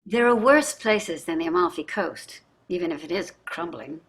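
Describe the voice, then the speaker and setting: A woman's voice: fairly low pitch, lively intonation, normal speed, slightly rough. An actress in a staged play reading, playing a wealthy, entitled society wife and mother with an affected, gushing manner. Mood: nosy, indignant. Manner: affected, gushing, effusive endearments.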